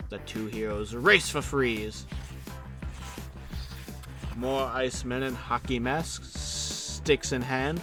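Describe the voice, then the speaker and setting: A man's voice: mid-range voice, takes it slowly, long pauses. A man narrating scripted superhero action dramatically as the narrator of an audio drama. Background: music.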